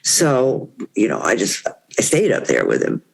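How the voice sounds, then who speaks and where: A woman's voice: a bass voice, huge pitch swings, unhurried, gravelly, husky, poor audio. An older woman, a guest on a podcast, recalling the past in a rambling, conversational way. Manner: warm rambling recollection with many "you know"s. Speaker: interview guest. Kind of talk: reminiscing conversation.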